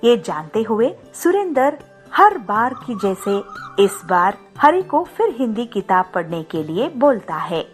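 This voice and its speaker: A woman reads a scripted moral tale as its narrator. She takes her time with animated, swinging intonation, and faint music plays beneath. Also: fairly high pitch, unhurried.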